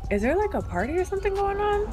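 A woman's voice: very high voice, big pitch swings, fast talker, darkish tone, faint music behind. A woman chatting and teasing friends in voice chat during a roleplay game, laughing often. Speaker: player in a roleplay server. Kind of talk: casual voice chat while gaming.

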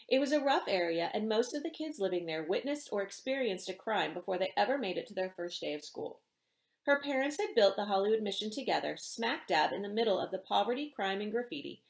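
Expressive woman reading aloud, roomy sound. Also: mid-range voice. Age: middle-aged. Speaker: author reading from own novel. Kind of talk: expressive read-aloud narration of a romance novel. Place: indoor room with bookshelves. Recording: roomy indoor echo.

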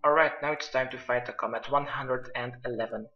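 A man's voice: mid-range voice, fairly even intonation, fairly quick. A man giving step-by-step instructional commentary for a video game walkthrough. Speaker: gamer presenting a quest guide. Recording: muffled lo-fi roomy mic, music behind.

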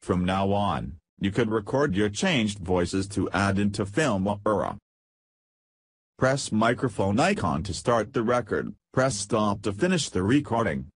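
Husky, low-voiced man narrating a tutorial. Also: animated, unhurried, no room sound. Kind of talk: scripted software tutorial narration.

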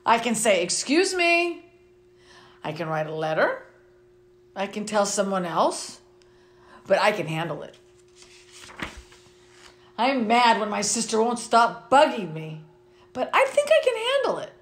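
A middle-aged woman reading a children's picture book aloud to students in an expressive way. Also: mid-range voice, a little slow, long pauses. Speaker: school vice principal reading to students. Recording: roomy, echoing sound.

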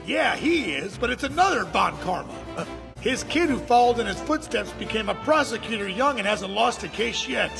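An actor in an anime dub playing a bumbling, loud, earnest police detective with a folksy, blustering manner. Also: male voice, very high-pitched, expressive, normal speed, creaky, over music, exaggerated voice. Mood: earnest, formal.

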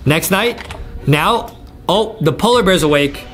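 A young man with a fairly high voice gives excited let's-play commentary. He is animated and repeats himself as he addresses viewers. Light game music plays behind him. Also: a little slow. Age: young adult. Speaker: gaming video host.

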